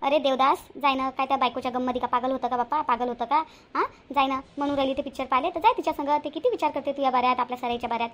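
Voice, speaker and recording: A woman acts out a homely, bossy mother from a homemade cartoon. She speaks quickly in a high voice with a Vidarbha Marathi accent, repeating herself as she nags. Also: fairly even intonation, fairly bright tone. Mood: scolding. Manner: scolding, repetitive, homely. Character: bossy, nagging village mother of a grown son.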